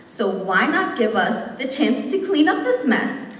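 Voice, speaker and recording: A woman's voice: fairly high pitch, sing-song, measured pace. A young woman reciting slam poetry with an impassioned, rhythmic, emphatic delivery. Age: young adult. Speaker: slam poet. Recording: echoey hall, distant mic, faint noise.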